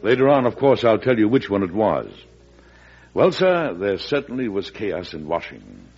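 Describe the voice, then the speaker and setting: A man's voice: lowish voice, big pitch swings, moderate pace, darkish tone, slightly rough, faint music behind. A man hosting and narrating in old-time radio storytelling style, addressing listeners in a folksy way. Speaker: host and narrator. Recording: dull vintage radio broadcast.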